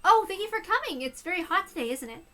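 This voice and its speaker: A woman voicing a cheerful, brisk female schoolteacher, polite with adults and loud when scolding children, her voice hoarse. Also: very high voice, sing-song, faint music behind, voice pushed up, heavily acted. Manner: bright, polite, then scolding loudly.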